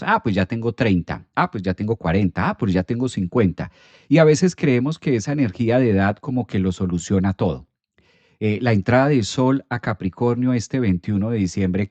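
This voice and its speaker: Man, low mellow voice, dry close sound, calm explanatory astrology talk. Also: no room sound.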